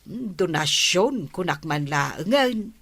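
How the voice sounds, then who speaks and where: A woman's voice: lowish voice, expressive, takes it slowly, a bit bright, dry recording, exaggerated voice. A woman playing a patient village captain in a Filipino comedy drama, with a Filipino accent. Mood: explaining. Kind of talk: Filipino radio-style comedy drama acting.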